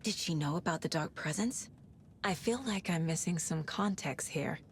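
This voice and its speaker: A woman's voice: deep voice, normal speed, a little hiss or hum. A woman playing a level-headed investigator in a horror game, thinking aloud with dry understatement. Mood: pondering, hushed. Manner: dry, understated muttering to herself. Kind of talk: video game acting.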